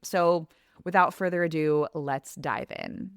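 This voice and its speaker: A woman in her thirties with a deep, low speaking voice shares a heartfelt podcast monologue. She speaks earnestly and reflectively, straight to her listener. The sound is close and dry, with no room around it. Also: expressive, measured pace, gravelly, clean tone, no room sound. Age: 30s. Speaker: podcast host and coach.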